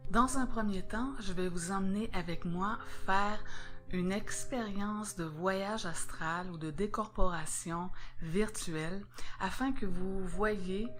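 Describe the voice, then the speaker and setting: A woman with a Québécois accent presenting a scripted spiritual video in a calm, measured, instructive way. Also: mid-range voice. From Quebec.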